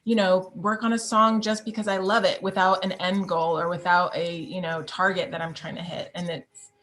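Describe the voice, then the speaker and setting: A woman's voice: lowish voice, level tone, moderate pace, fairly bright tone. A woman, speaking as a podcast guest in a candid, reflective conversation and laughing easily. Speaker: podcast guest, Broadway performer. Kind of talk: casual conversation.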